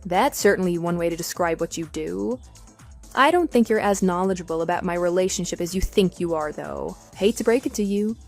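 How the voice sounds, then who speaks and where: A woman's voice: fairly low pitch, fairly quick, vocal fry, soft background music. A woman playing a coolly sarcastic wronged wife in a radio drama, speaking in a dry, firm, matter-of-fact way. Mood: dry, unimpressed. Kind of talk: scripted radio drama dialogue.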